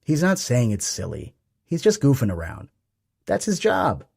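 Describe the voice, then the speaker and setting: Jovial booming dad, a man reading him, lively, slightly husky. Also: moderate pace, full character voice. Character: booming jovial middle-aged father.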